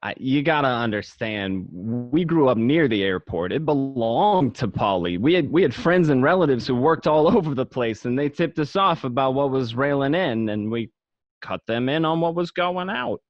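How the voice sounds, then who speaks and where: A dull, close and dry lo-fi recording of a man acting as a gangster telling his own story in a radio drama. His pitch is middling and he recalls his life of crime in the first person. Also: lively intonation, moderate pace, no room sound, close to an everyday voice. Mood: reminiscing. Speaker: amateur actor.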